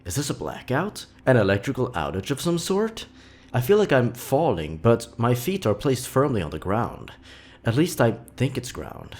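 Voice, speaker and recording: A man reading scripted horror fiction as a dramatic narrator, with measured, deliberate phrasing. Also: medium pitch, sing-song, a little mellow.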